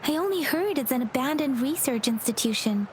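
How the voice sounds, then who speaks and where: A woman voicing an earnest, caring young scout in a video game, speaking in a polite, measured way. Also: sits high, animated, a little hiss or hum. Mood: serious. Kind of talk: video game character dialogue.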